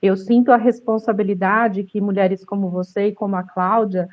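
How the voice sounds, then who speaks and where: A woman's voice: mid-range voice, little pitch movement, moderate pace, lo-fi sound. A middle-aged woman moderating a panel, introducing the guests with enthusiasm. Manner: enthusiastic, warm framing of guests.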